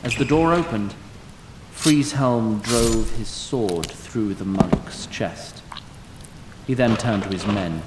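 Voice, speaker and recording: A man's voice: on the low side, slow pace, very noisy. A man narrating a scripted fantasy story in a wry storytelling manner.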